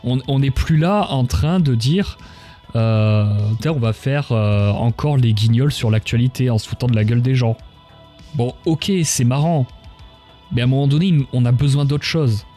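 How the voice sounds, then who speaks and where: A man with a mellow, soft-edged voice in a casual livestream conversation. He speaks colloquial opinion, blunt and emphatic. Light music plays behind him. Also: medium pitch, moderate pace.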